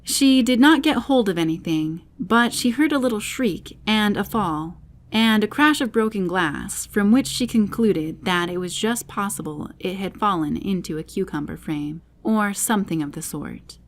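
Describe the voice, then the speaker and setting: A woman narrating a children's classic as an audiobook reader, with expressive storybook delivery. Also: mid-range voice, moderate pace.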